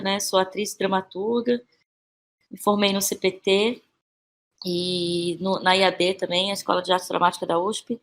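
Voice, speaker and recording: A Brazilian woman speaks as a guest in an interview, in a middle-pitched voice. Her talk is informal and reflective, and she often checks in with small tag questions. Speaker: podcast guest, actress and playwright. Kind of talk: conversational interview answers.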